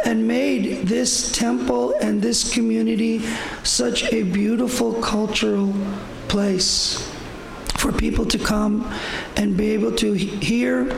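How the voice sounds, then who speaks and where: An older man with a hoarse voice giving an informal devotional talk at a temple, rambling and repeating himself. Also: extremely high pitch, reverberant, slight background noise, low-quality recording. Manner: rambling, repetitive, with fillers. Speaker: visiting speaker at a temple. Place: temple hall.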